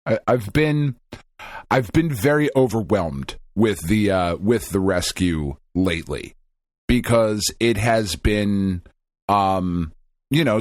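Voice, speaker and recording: A man's voice: lowish voice, no echo, clean studio sound. A man co-hosting a casual podcast, rambling and venting with lots of swearing and restarts. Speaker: podcast co-host.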